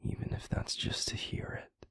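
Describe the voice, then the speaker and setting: A man playing a tender, protective werewolf boyfriend in an intimate ASMR roleplay, speaking in a breathy, gravelly, soothing whisper. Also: no echo. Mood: tender, reassuring. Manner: soothing, slow, gently coaxing. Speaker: audio roleplay voice actor.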